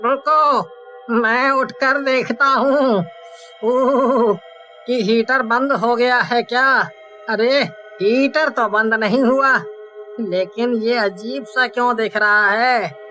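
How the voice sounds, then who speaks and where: A plaintive factory worker, voiced by a man in a horror cartoon, chats in a high, bright voice. The pace is a little slow, with light music behind. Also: fairly even intonation, exaggerated voice. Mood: puzzled. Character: weary, grumbling male factory worker, chilly. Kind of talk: Hindi cartoon voice acting.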